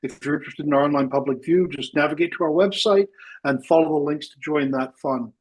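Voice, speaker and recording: A man's voice: a little high, brisk, rough recording. A man from Wales, hosting a live astronomy radio show and talking with many fillers and restarts. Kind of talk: live radio science chat.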